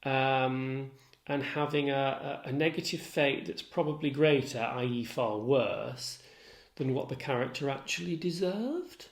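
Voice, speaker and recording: A man lecturing as an English literature tutor, explaining in a deliberate, formal way with precise wording and occasional asides. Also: mid-range voice, vocal fry. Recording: echoey, roomy sound.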